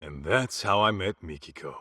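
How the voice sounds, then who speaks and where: An actor playing a gruff, loyal yakuza bodyguard turned detective, blunt and streetwise, in a video game cutscene. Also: male voice, deep voice, animated, normal speed, pushed and bright, no echo, clean studio sound, exaggerated voice. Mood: reminiscing.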